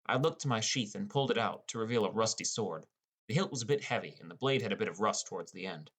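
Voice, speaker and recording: A man's voice: on the low side, animated, quick pace, fairly bright tone, breathy edge, full character voice. A man playing a wry, inexperienced teenage boy who narrates his own game adventure in an audiobook. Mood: narrating. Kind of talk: first-person audiobook narration with character dialogue.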